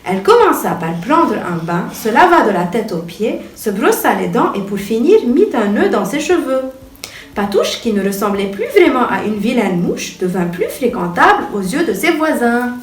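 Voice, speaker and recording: A woman reading a children's bedtime story aloud in an expressive, theatrical storytelling manner. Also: a little high, normal speed. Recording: echoey room, distant mic, music behind.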